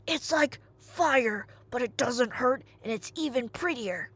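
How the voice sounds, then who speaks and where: A woman puts on a brash young god from a comic myth story. The pitch is high and swings about freely. The patter is chummy, slangy and conspiratorial, like a pushy persuader. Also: expressive, slow, fairly bright tone, husky, exaggerated voice. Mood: sly, eager.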